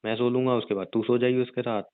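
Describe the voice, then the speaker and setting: A man playing a rough young gangster husband in an audio drama, crude and teasing yet protective, talking in slang. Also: mid-range voice, fairly even intonation, fast talker, no room sound, close to an everyday voice. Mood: exasperated. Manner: slangy, crude, mild swearing. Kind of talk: audio roleplay drama, conversational. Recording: narrow phone-like dry sound.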